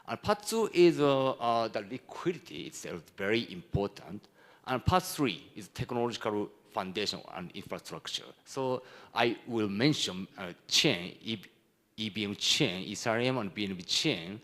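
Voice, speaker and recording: A man from Japan with a Japanese accent gives a conference presentation in halting, non-native English with broken phrasing. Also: on the low side, lively intonation, fairly bright tone. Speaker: conference speaker, hedge fund executive.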